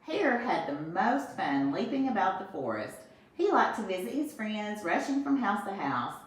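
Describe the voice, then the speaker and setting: An older woman, a first grade teacher, reading a storybook aloud to children with expressive asides, filmed at home on a phone. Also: mid-range voice, normal speed. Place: home, with a small grandchild nearby. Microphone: phone camera held by her son. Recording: echoey room, voice from a distance.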